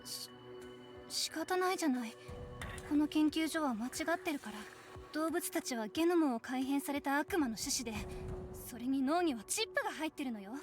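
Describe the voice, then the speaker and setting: A woman voicing a rigid, fearful young female heretic in a visual novel, halting and insistent. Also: sits high, lively intonation. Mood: defensive, zealous. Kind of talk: Japanese visual novel voice acting. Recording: music behind the voice.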